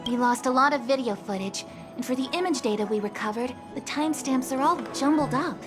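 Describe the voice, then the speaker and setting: A woman's voice: high voice, brisk, music in the background, lightly acted. A woman voicing a composed young officer in a video game, formal, earnest and polite. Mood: businesslike. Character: dutiful, composed young female city officer. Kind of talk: video game English dub acting.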